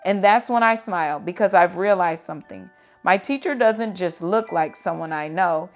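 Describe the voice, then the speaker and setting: A woman reading children's picture books aloud in an expressive, dramatic and deliberate storytelling style. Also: lowish voice, faint music behind.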